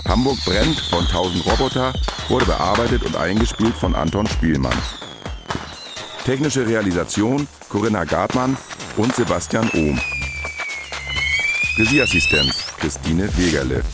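Deep-voiced man over loud electronic music. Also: sing-song, unhurried, pauses often. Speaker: credits announcer. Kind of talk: scripted broadcast announcement.

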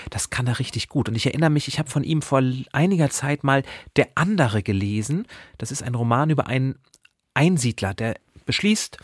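A man with an average-pitched voice talks casually as the host of a book podcast. He sounds enthusiastic and playful, recorded close in a very dry space. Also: expressive, brisk, breathy edge, no echo.

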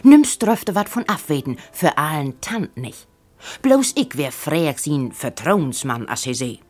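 A woman with a Northern German accent reads a story expressively in the first person. Her voice is fairly low and husky, with animated rises and falls. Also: measured pace, soft background music. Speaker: actress reading a story.

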